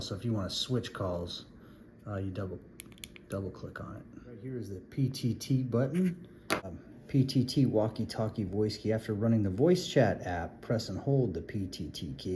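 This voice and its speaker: A man with a fairly low voice talks through a product review in a casual, plainspoken way. He instructs step by step, as in a hands-on demonstration. There is some background noise in the amateur recording. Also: warm tone.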